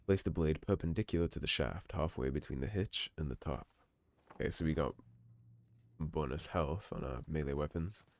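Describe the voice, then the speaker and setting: A man giving relaxed, musing commentary over a game playthrough, his voice slightly hoarse. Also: a bass voice, moderate pace, no room sound. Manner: relaxed, musing, reads in-game notes aloud. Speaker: game streamer. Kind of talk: let's play commentary.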